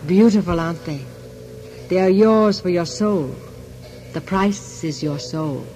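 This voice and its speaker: A man's voice: high-pitched, measured pace, warm tone, a little hiss or hum, low-quality recording. An actor playing a sly psychic shopkeeper in a radio drama, insinuating and theatrical as he bargains for souls. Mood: tempting.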